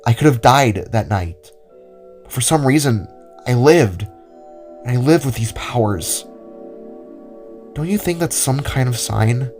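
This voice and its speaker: A man's voice: mid-range voice, lively intonation, unhurried, pauses often, darkish tone, lightly acted. Man voicing an earnest, idealistic young heroine over music. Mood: earnest, pleading. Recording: music under the voice.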